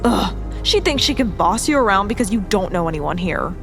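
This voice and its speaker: An actress playing a sharp-tongued young woman friend who mocks others in a scornful, gossipy way in an audio drama. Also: mid-range voice, very animated, a little fast, over music.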